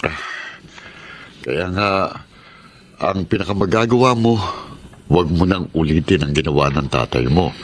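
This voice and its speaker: A man voices a hardworking, gentle middle-aged father in a radio drama, with a very deep voice. He talks earnestly and softly hands out fatherly advice, with a faint room hum behind him. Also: normal speed, long pauses, close to an everyday voice. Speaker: father of college students.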